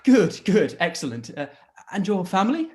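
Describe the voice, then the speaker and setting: A man playing an amiable, smitten young gentleman in a period radio play, effusive and a little hesitant. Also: high-pitched, huge pitch swings, moderate pace. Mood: eager, flustered. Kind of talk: live-read period radio play acting.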